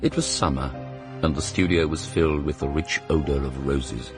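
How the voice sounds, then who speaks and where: An actor playing a witty, cynical middle-aged aristocrat with an upper-class English accent, arch and theatrical in a radio drama. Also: male voice, very deep voice, normal speed, over music. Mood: reflective. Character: witty, cynical English aristocrat, worldly and languid, recalling the past. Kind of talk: radio drama acting and first-person narration.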